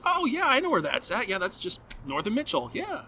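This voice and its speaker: A man hosting a podcast who tells animated stories, acts out playful quotes and reads sponsor messages. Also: very high voice, brisk, vocal fry, slight background noise. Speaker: podcast host. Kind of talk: casual chat with sponsor reads.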